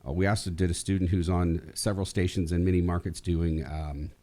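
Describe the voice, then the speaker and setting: A man hosting a conversational radio interview, talking in a halting way as he thinks aloud. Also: low-pitched, level tone, mellow. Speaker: radio show host interviewing a former student.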